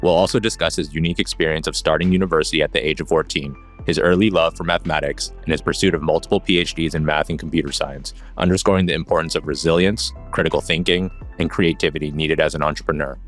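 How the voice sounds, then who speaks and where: A man's voice: extremely low pitch, steady tone, quick pace, hardly any pauses, faint music behind. A man speaking as a podcast host and interviewer. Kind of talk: casual podcast interview with scripted intro.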